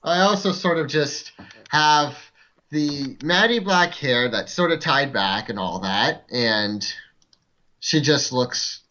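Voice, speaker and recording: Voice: mid-range voice, expressive, takes it slowly, pushed and bright. A tabletop game player casually describing a character, over dull lo-fi audio.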